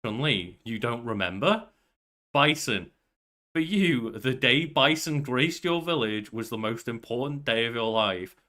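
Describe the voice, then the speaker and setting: A man's voice: mid-range voice, lively intonation, measured pace, pushed and bright. A man in his thirties co-hosting a podcast, chatting casually and feeling for his words as he goes. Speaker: podcast co-host. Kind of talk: casual chat. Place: indoors at a desk, on a video call.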